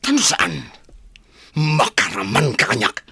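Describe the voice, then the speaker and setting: A man's voice: medium pitch, wildly swooping pitch, a little slow, pushed and bright, a little hiss or hum, heavily acted. An actor playing an arrogant, lecherous, hot-tempered young man in a radio drama, taunting and menacing. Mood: furious, threatening.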